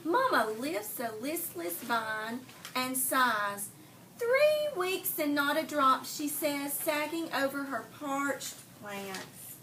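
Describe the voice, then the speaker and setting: A woman with a fairly high voice reads a picture book to young children as their teacher. Her delivery is expressive and deliberate, stopping to question her listeners. She is recorded at a distance from the mic, with clear room noise around her. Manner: expressive storytelling, slow deliberate phrasing, pausing to question listeners. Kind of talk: interactive picture-book read aloud.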